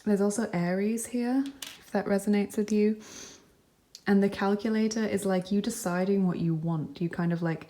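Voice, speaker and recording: Soft, mellow-voiced woman reading tarot. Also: mid-range voice, fairly even intonation, normal speed. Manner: gentle, reassuring, thinks aloud with fillers. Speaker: tarot reader. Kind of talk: pick-a-card tarot reading.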